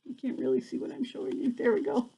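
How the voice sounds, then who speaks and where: A woman's voice: a little high, lively intonation, fast talker, mellow, slight background noise. An older woman reading a picture book aloud to children like a grandmother, adding chatty asides and checking in with her young listeners.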